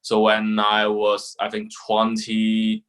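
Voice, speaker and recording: A man's voice: fairly low pitch, monotone, slow pace, fairly bright tone. A man in his twenties with a Hong Kong accent, answering casually and informally as a podcast guest. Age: 20s. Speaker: podcast guest, young lawyer.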